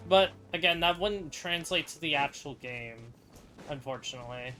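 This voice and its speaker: A game streamer talks casually over soft music. The delivery is informal and offhand, slipping between wry remarks and reading dialogue aloud. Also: medium pitch, normal speed. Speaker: game streamer reacting to a story quest.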